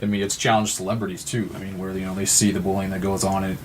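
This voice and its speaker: Male, 30s, podcast guest. Low voice, flat delivery, earnest and wordy, some background noise. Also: fast talker. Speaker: podcast guest from a support non-profit. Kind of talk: conversational interview talk.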